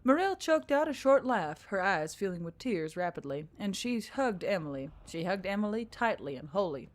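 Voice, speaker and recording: A woman's voice: mid-range voice, big pitch swings, normal speed. A woman reading scripted fiction as a dramatic narrator. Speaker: story narrator.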